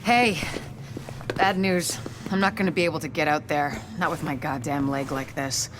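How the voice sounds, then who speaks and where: A woman's voice: deep voice, steady tone, moderate pace, background noise, lightly acted. A woman playing a tough, frank, determined injured agent in a video game cutscene. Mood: frustrated, regretful. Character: tough, injured female agent, fiercely attached to her city.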